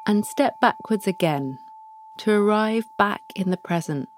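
A woman hosting a podcast, leading listeners through a guided meditation with soft prompts and long pauses. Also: mid-range voice, lively intonation, a little hiss or hum. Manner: slow, soft, pausing between prompts. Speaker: podcast host guiding a practice.

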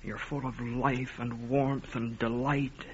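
An actor playing an earnest, smitten man in old-time radio drama, speaking in a halting, ardent way. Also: mid-range voice, little pitch movement, close to an everyday voice. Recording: muffled, noisy vintage radio broadcast recording.

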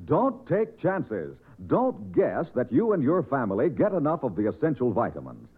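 An American man delivers 1950s radio announcements with hugely swooping intonation. His voice is husky and fairly high, with an emphatic sales style. The recording is narrow and old, like a phone line. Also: huge pitch swings, normal speed. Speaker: radio announcer and sponsor spokesman. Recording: narrow, rough vintage radio transcription.

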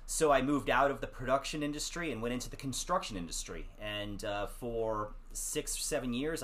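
A middle-aged man from the DC area, talking casually as a guest in a measured, hesitant way. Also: mid-range voice, a bit bright. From Washington DC area. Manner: measured, hesitant, with many ums. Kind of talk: casual chat.

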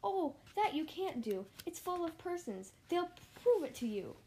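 A woman's voice: high-pitched, normal speed, mellow, a little hiss or hum, lightly acted, poor audio. A woman voicing a kindly, loyal male elephant who speaks earnestly and emphatically in storybook rhyme. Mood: alarmed, urgent. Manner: earnest, urgent, pleading, emphatic.

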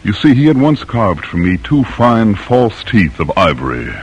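An actor playing a grave, eloquent colonial-era doctor and patriot in an old radio drama, speaking in a measured, solemn way. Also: male voice, fairly low pitch, very animated, husky, lo-fi sound, exaggerated voice. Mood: solemn reminiscence.

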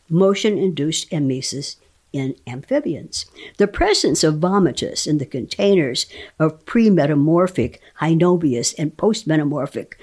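A woman reading a scientific paper aloud as a guest dramatic reader, enunciating technical terms deliberately. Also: lowish voice, darkish tone, slightly rough.

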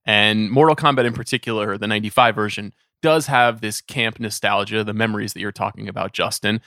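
A man's voice: lowish voice, fairly even intonation, fairly quick, pushed and bright, dry recording, clean studio sound. A man hosting a conversational podcast, speaking in a wry way and putting long questions to his guests. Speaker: podcast host.

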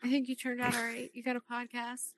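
A woman's voice: fairly high pitch, monotone, brisk, a bit bright, slight background noise. A woman who is a guest on a podcast, chatting casually with a sympathetic, reassuring manner. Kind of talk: casual chat.

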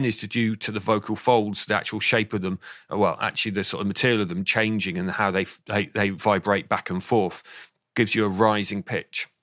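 Guy in his 50s, a bit croaky, lowish voice, very dry sound, explaining stuff with lots of restarts. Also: fairly bright tone, no room sound. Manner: explanatory, thoughtful with frequent restarts. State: slightly croaky today. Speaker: author and guest. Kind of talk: interview on voice science.

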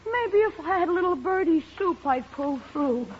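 A man's voice: very high voice, animated, normal speed. A male actor playing a cheeky young boy, wheedling and mock-feeble, in an old radio comedy. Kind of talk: 1950s radio comedy acting. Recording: dull old radio recording.

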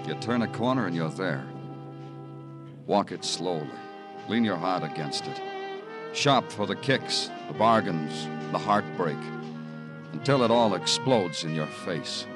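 An actor playing a world-weary, hard-boiled police detective in old radio noir, dry, sardonic and insistent. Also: male voice, low-pitched, animated, a little slow. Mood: brooding. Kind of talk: 1950s radio noir acting. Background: music.

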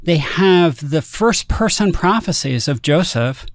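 A man's voice: a little high, animated, unhurried, no echo, clean studio sound. A man on a podcast explaining a religious text step by step, often posing rhetorical questions. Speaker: guest scholar.

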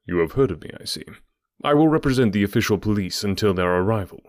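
A man's voice: lowish voice, animated, quick pace, no room sound, studio quality, lightly acted. A man voicing a cool, commanding male detective in an audiobook, clipped and authoritative. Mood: cold command.

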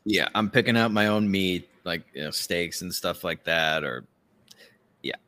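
A fairly low-voiced man talks as a podcast co-host. He rants casually about politics in a sardonic, sweary way. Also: unhurried, bright, forceful tone. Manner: profane, ranting, sardonic.

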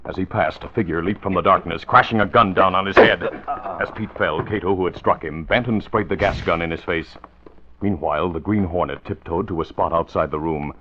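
A man's voice: low-pitched, brisk, background noise. A man narrating a scripted 1940s radio adventure as storyteller. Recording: dull old radio recording. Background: dramatic music.

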